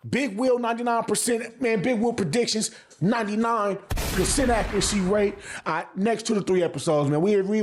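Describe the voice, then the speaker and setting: A man giving casual, opinionated anime commentary as a vlog host, speaking in emphatic slang with frequent swearing and repeated phrases. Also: sits high. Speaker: anime reviewer and channel host.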